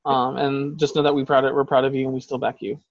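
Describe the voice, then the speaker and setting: A man's voice: medium pitch, level tone, fast, no echo, dull audio. A man co-hosting a podcast in casual chat, talking in a heated, profane, rambling way. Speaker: podcast co-host.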